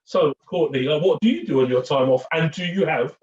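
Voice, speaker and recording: A man's voice: a little high, a little fast, a little mellow. Echoey, distant man hosting a chatty Q&A. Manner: jokey, rambling, repeats words. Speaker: host of a fan Q&A. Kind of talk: chatty, informal interviewing. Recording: muffled, echoey, distant from mic.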